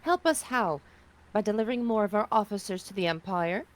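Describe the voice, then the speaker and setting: A woman plays a young alien officer of a sci-fi rebellion. Her voice is mid-pitched, firm and curt, that of a skeptical captain who answers plainly. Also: animated, fairly quick, natural voice. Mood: distrustful. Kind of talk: sci-fi audio drama table read.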